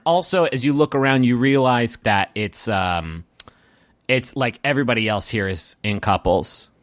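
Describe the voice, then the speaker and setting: A man improvising narration as game master of a tabletop roleplaying game, halting and rewording as he goes. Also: mid-range voice, a bit bright, no echo.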